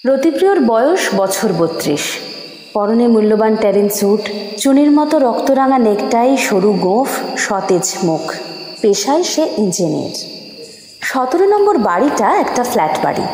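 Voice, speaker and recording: A woman's voice: on the high side, very animated, normal speed, dark tone. A woman narrating a scripted horror story in a dramatic storytelling style. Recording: reverberant studio effect with background noise.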